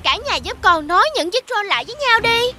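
Brave little girl, childlike, very high pitch, highly animated, earnest, cartoon, loud music. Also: a little fast. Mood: eager. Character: bright, brave, clever little girl inventor.

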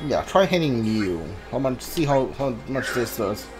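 Slow-paced man thinking aloud over game music. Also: mid-range voice, big pitch swings, rough recording. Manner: thinking aloud in short muttered remarks. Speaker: let's play streamer. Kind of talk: casual game commentary. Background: video game music and sounds.

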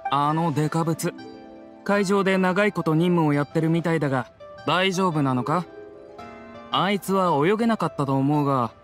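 A man's voice: fairly high pitch, moderate pace, fairly bright tone, soft background music, lightly acted. A man playing a blunt young henchman in a video game, dryly skeptical and casual. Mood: dryly doubtful. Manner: rough, casual phrasing.

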